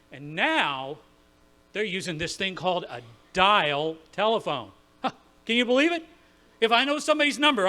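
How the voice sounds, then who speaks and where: Man playing a high-voiced folksy radio host. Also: bright, forceful tone, in a large hall, exaggerated voice. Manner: folksy, chatty, addressing the audience. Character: folksy, chatty male 1930s Chicago radio studio host. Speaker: host warming up a live audience. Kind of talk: 1930s radio show audience warm-up.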